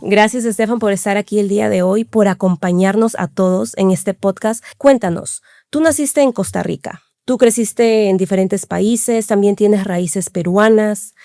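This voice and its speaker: A woman's voice: mid-range voice, measured pace, hardly any pauses, mellow, no echo, studio quality. A woman hosting a podcast, reading a prepared introduction and asking interview questions. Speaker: podcast host.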